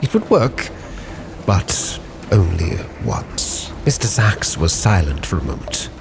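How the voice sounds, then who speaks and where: A man's voice: deep voice, very animated, slow, vocal fry, heavy background noise. A man giving solemn, brooding, theatrical first-person narration for a roleplay story. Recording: prominent music behind the voice.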